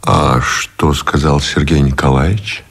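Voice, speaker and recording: Casting: weary middle-aged naval commander, deep, husky, courteous, radio play romance lead. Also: male voice, fairly even intonation, measured pace, exaggerated voice. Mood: curious. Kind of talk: Russian radio drama acting.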